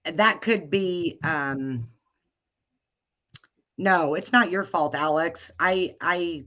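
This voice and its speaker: A woman with a deep, low voice hosts a casual live chat, taking her time and stopping to restart her thoughts. Her speech is slow, and the stream audio sounds dull and lo-fi. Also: sharp tone. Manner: hesitant, halting with many restarts. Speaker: livestream host.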